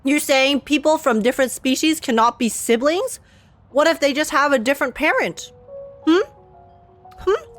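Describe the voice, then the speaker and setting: A woman's voice: high voice, animated, moderate pace, long pauses, soft background music. Giggly young woman streamer. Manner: giggly, joking and sarcastic. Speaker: streamer reacting to a game. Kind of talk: casual reaction commentary.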